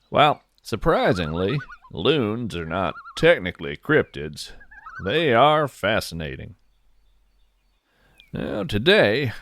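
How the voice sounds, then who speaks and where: A man plays a folksy, wonder-struck male naturalist narrating a fictional nature podcast. His voice sits fairly low and moves very slowly through lyrical, earnest phrases. The sound is close and dry, with gentle delivery throughout. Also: no echo, exaggerated voice. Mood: fond explaining. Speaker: podcast host.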